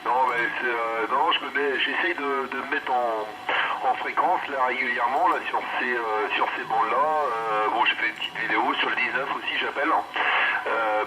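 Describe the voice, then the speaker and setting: A man with a French accent chats casually over CB radio, rambling hesitantly and repeating himself as he goes. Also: mid-range voice. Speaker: amateur CB radio operator. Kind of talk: casual radio contact chat. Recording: noisy CB radio transmission.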